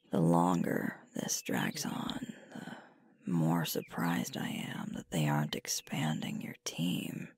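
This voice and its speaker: A woman playing a sweet, sleepy alpha werewolf girlfriend in an intimate ASMR roleplay, speaking in a gentle, husky, unhurried murmur close to the microphone. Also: very deep voice, dry recording, exaggerated voice. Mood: concerned, tender. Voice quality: breathy, husky with creaky fry. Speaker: ASMR performer. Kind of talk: ASMR roleplay, intimate whispered and soft-spoken. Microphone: close mic, dry.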